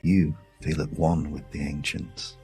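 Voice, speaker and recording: A British man narrating a guided sleep meditation story in a hushed, soothing, measured way with long pauses. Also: rumbling low, flat delivery, slightly rough. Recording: music behind the voice. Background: music.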